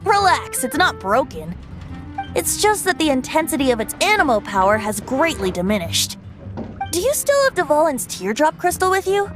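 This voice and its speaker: A woman's voice: high voice, big pitch swings, normal speed, over music. A woman playing a playful, theatrical young male bard in a video game. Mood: bright, encouraging. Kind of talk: video game character acting.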